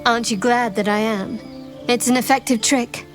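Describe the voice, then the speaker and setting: A woman voicing a guarded, knowing adventurer companion in a fantasy game, with a cool, deliberate delivery. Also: a little high, fairly even intonation, over music, natural voice. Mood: cool, warning. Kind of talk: scripted game dialogue.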